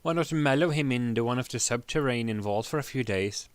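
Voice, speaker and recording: A man's voice: mid-range voice, brisk, clean tone, dry recording, lightly acted. A man voicing a scheming, coldly cruel royal advisor who speaks deferentially in a fantasy story reading.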